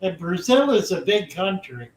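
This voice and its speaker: An older man hosting a casual call-in chat, giving slow, genial and encouraging replies. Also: high-pitched, sing-song. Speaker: host. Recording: roomy sound from far off, faint ambience.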